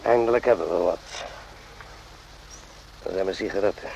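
A man's voice: sits low, normal speed, vocal fry, highs cut off, very noisy. A man acting in a radio drama as an irritable, bullying army sergeant who curtly barks questions. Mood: impatient. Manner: curt, impatient, barking questions.

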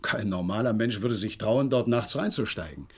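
A man's voice: fairly low pitch, normal speed, husky. A man acting in a German radio play as an agitated horse trainer, eager and deferential as he gives a dramatic account. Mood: secretive, earnest. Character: agitated horse trainer reporting a mystery, deferential male servant.

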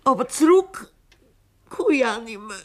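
Schauspielerin als gekränkte bayerische Bäuerin, lebhafte Sprachmelodie. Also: female voice, on the high side, animated, normal speed, natural voice. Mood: pleading. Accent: Bavarian. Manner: reproachful, wounded. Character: humiliated, indignant farmer's wife and mother. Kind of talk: German radio play acting in dialect.

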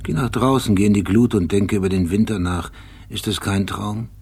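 An actor as a gravelly, low, brooding detective. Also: male voice, fairly even intonation, normal speed, darkish tone, slight background noise, close to an everyday voice. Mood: musing, urgent. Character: obsessive, brooding male police detective with dark memories. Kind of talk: radio play acting, intimate monologue.

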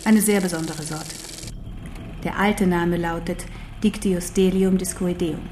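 Low-voiced, precise female teacher played by an actress. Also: steady tone, unhurried, darkish tone, slight background noise, natural voice. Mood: instructing. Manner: didactic, precise, instructing. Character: female non-human teacher, learned, patient, firm. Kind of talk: German radio drama acting.

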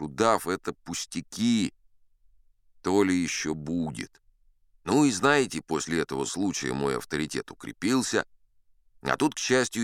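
A man's voice: sits low, a bit bright, smooth, no room sound, clean studio sound. A man voicing a boastful old sea captain who tells tall tales in the first person, chatty and confiding with the listener. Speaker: audiobook narrator. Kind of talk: first-person storytelling in an audio play.

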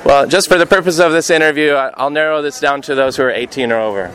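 An American man with a slightly high voice presents and interviews for radio. He puts his questions in a clear, deliberate way, like someone running a street survey. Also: a bit bright, poor audio. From United States. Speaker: radio show host and interviewer.

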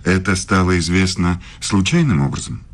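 An actor playing a married middle-aged philosophy professor in a radio play, speaking formally and with quiet, insinuating intimacy. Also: male voice, deep voice, animated, moderate pace. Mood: earnest, guarded.

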